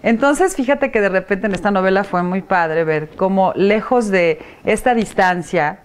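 A middle-aged Mexican woman, an actress raised in Puebla, telling stories in a lively, animated way as an interview guest, seated on an indoor set. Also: mid-range voice, normal speed, clear, resonant tone. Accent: Mexican Spanish. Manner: lively, animated storytelling with frequent laughs. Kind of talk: casual interview chat.

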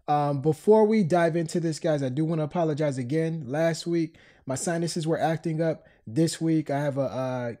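A man's voice: a little high, moderate pace, few pauses, dark tone. A man hosting a podcast in casual chat, a bit stuffed up from a cold. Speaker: podcast host.

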